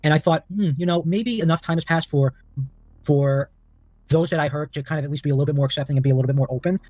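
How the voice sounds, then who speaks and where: A man on a dry voice call, talking quickly in a mid-range voice, with faint music behind him. He lays out his reasoning in a formal, measured way and at length. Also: fairly high pitch, darkish tone, no room sound. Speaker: person answering questions on a call. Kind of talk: careful explanation on a voice call.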